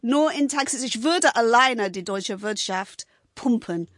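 A middle-aged English woman as an interview guest, with a fairly high voice and an English accent in her second language. She chats informally and animatedly, tossing in tag questions, in a dry close recording. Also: animated, measured pace, a bit bright, no echo. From England, United Kingdom. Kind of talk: casual conversational storytelling.

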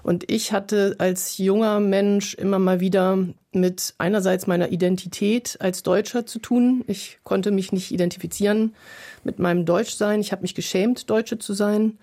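A woman's voice: medium pitch, dark tone. A woman therapist speaking as an interview guest, reflecting aloud in an earnest, searching way.

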